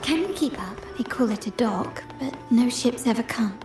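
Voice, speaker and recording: A woman's voice: fairly high pitch, expressive, normal speed, close to an everyday voice. An actress playing a composed young woman investigator in a video game, murmuring thoughtfully to herself as she searches. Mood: pensive, searching. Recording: cavernous long reverb, loud game music.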